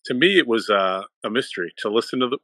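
A man's voice: mid-range voice, animated, fast, bright, forceful tone, no echo, clean studio sound. A man with a Boston accent talking casually as a guest on a music discussion. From Boston area, United States. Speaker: panel guest, music fan.

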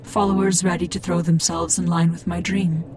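An actress playing a cold, haughty machine-cult priestess who speaks in grave, deliberate pronouncements in a sci-fi audio drama. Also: female voice, on the low side, little pitch movement, a crowd in the background, close to an everyday voice. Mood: bleak, weary. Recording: dramatic music under the voice. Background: music.

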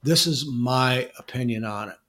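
A man co-hosting a podcast, answering a listener question in a relaxed, explanatory way with frequent restarts. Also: medium pitch, normal speed. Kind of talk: casual podcast chat.

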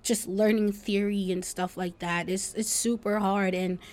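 A woman's voice: medium pitch, steady tone, a little slow, darkish tone. A twenty-year-old woman hosting a solo podcast, talking casually and reflectively in an informal, rambling way. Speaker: podcast host.

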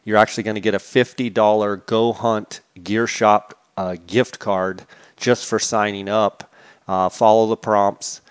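A man with a lowish, smooth voice reads his podcast's sponsor spots in a flat, even tone. His talk is loose and casual, full of "uh"s and small restarts. The sound is dry and a little muffled. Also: unhurried, dry recording. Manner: casual, many filler "uh"s and restarts. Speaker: podcast host.

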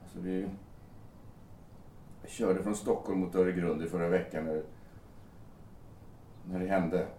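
A roomy, slightly distant recording with faint noise carries a male actor playing a grieving man in an audio drama. He is agitated and sarcastic, swears, and speaks haltingly, as a bitter man who blames himself. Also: low voice, fairly even intonation, dark tone. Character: grieving, bitter young bridegroom blaming himself for fatal crash. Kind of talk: naturalistic radio drama dialogue.

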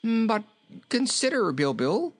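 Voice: medium pitch, very animated, takes it slowly, creaky, voice pushed up, exaggerated voice. A narrator voicing a jolly, fat little king from a storybook, chuckling, exclaiming and repeating himself merrily. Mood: pleading, amused.

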